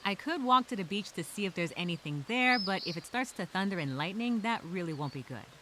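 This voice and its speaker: A woman playing a scared but determined castaway, speaking her survival journal as a halting, expressive dramatic monologue. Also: medium pitch, background noise, close to an everyday voice. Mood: anxious, subdued.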